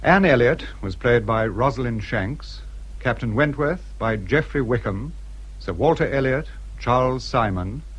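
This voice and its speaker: A British man reading scripted radio credits as an announcer, in a measured, formal manner. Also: mid-range voice, animated, slightly rough, poor audio.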